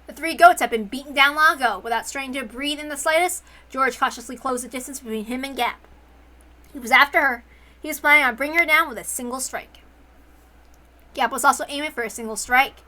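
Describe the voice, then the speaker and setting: A woman narrating a visual novel aloud in an expressive, dramatic storytelling style. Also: high voice, a little fast. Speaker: narrator, reader.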